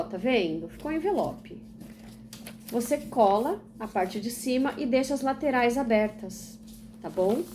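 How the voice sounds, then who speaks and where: A woman's voice: mid-range voice, warm tone, slight background noise. A young woman from Brazil, a teacher trainee, explaining a literacy activity step by step and asking and answering her own questions. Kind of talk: instructional demonstration video.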